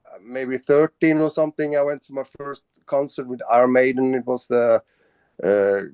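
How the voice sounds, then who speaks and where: A man's voice: medium pitch, steady tone, moderate pace, a little mellow, clear, resonant tone, no echo, studio quality. A man from Sweden, a band's singer, answering interview questions casually, with many fillers and restarts. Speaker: band vocalist.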